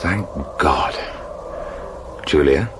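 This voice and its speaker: A man's voice: rumbling low, very slow, exaggerated voice. An actor playing an anxious, protective male expedition leader in a 1980s radio thriller set in Antarctica. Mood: relieved, gentle. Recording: faint effects noise behind.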